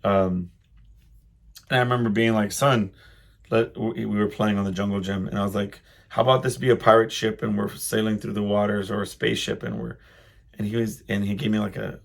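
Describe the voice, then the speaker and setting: A middle-aged man hosting a solo podcast, reflecting casually and haltingly as he thinks aloud in a loud voice. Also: lowish voice, fairly even intonation, gravelly. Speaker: podcast host.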